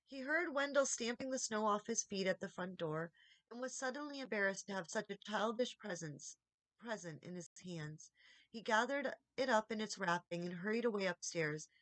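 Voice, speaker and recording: A woman reading a children's story aloud as expressive audiobook narration. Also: medium pitch, no room sound. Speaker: audiobook reader.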